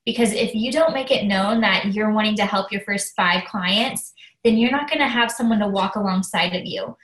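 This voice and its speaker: A woman's voice: medium pitch, moderate pace, a bit bright, echoey room, low-quality recording. A woman coach with a cold, sounding slightly nasal, talking eagerly and chattily to a team. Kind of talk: casual training talk.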